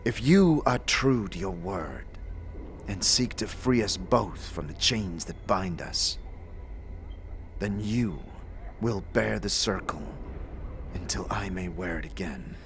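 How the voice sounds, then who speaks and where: A man voicing a grave, honour-bound otherworldly warrior in an audio drama, solemn, measured and formal. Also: deep voice, expressive, a little hiss or hum, full character voice.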